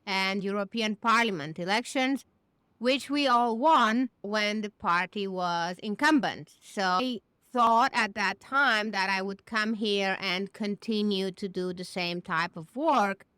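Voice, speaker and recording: A woman's voice: mid-range voice, a little slow, sharp tone, smooth, no echo. A woman from Romania, answering interview questions confidently as a business founder. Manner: confident, matter-of-fact. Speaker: guest, naming agency founder.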